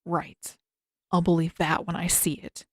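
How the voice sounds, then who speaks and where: A woman's voice: level tone, a little slow, no room sound, studio quality. A woman voicing a surly, guarded but earnest teenage prince in a terse audiobook character voice. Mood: irritated. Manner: terse, irritated, low-key.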